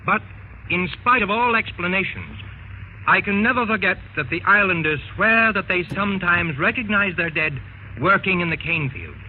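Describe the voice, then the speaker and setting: An actor playing a grave, learned investigator recounting horrors in an old-time radio drama. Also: male voice, high-pitched, expressive, moderate pace, phone-quality sound. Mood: solemn, reflective. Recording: thin, noisy old radio recording.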